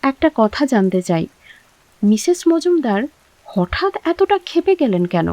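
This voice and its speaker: A woman's voice: on the high side, big pitch swings, normal speed, dark tone, no echo. An actress playing a cautious but sympathetic woman resident, speaking politely and asking probing questions in an audio drama.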